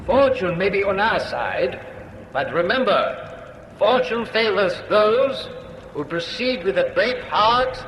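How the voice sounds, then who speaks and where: Wartime naval commander, resolute oratory, slow delivery, roomy echo, radio drama. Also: male voice, high voice, animated, a bit bright, a little hiss or hum, heavily acted. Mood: stern, rousing. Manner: oratorical, deliberate. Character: resolute wartime ship's commander addressing his crew. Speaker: actor.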